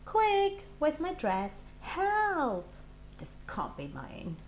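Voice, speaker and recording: A woman voicing cheeky, eager, excitable young schoolchildren in a children's storybook. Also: high-pitched, extremely slow, slight background noise, voice pushed up, heavily acted. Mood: frantic, excited.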